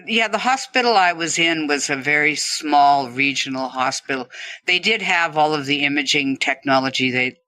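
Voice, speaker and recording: A man telling an interviewer about his stroke in a relaxed, conversational way, laughing now and then. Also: mid-range voice, no echo, rough recording. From Canada. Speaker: stroke survivor guest.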